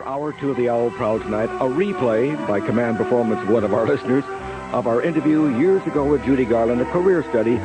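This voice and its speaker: A man's voice: mid-range voice, poor audio. A middle-aged man hosting a radio show, reminiscing in a conversational, anecdotal way. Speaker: radio host. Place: radio studio.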